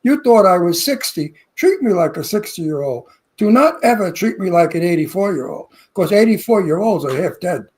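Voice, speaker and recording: A man's voice: high voice, expressive, normal speed, hardly any pauses, a little mellow. A man in his eighties co-hosting a celebrity interview show, talking in an effusive, emphatic way with lavish compliments and restarted phrases. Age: 80s. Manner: repeats words when starting sentences. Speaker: co-host.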